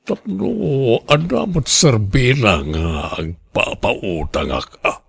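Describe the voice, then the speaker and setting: A man playing an older, guarded moneylender in an Ilocano radio drama, gravelly and husky, with an Ilocano accent. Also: mid-range voice, sing-song, slow pace, a bit bright, dry recording, exaggerated voice. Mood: guarded, measured. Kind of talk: Filipino radio drama acting.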